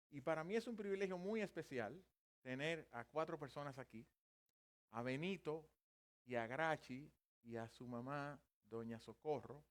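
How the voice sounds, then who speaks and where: A man in his fifties preaches in his native Spanish from a church pulpit. He moves from light self-deprecating humor into earnest teaching, and his voice sits at a middle pitch. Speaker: pastor. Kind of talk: bilingual church sermon in Spanish. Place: church sanctuary.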